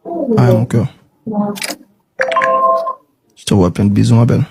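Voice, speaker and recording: A man's voice: mid-range voice, wildly swooping pitch, unhurried, vocal fry, noisy background. A man in an intimate casual conversation, speaking in an affectionate, coaxing way.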